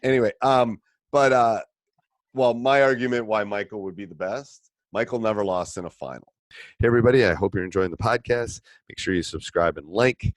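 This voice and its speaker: A man hosting a basketball coaching podcast, chatting casually and getting animated when he makes a point. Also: lowish voice, a little fast, no echo. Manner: animated storyteller, voice jumps up when excited.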